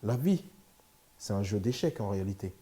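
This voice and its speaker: A middle-aged man answering interview questions, steady and emphatic, stacking up his points in short repeated phrases. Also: fairly low pitch, moderate pace. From France. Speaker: interview guest, lawyer and sports agent. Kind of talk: candid interview talk.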